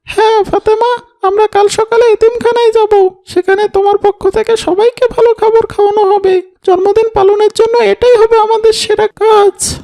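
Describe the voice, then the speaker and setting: A woman's voice: very high voice, flat delivery, moderate pace, warm tone. A woman voicing a helpful, devout little boy in a cartoon, speaking earnestly. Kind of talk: cartoon dialogue acting.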